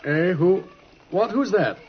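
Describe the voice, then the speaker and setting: An actor with a British accent playing a brisk, absorbed, genial gentleman detective in an old radio drama, speaking precisely and urbanely. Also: sits high, a little hiss or hum. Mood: distracted. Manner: animated, precise, urbane storytelling. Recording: muffled, husky old radio recording.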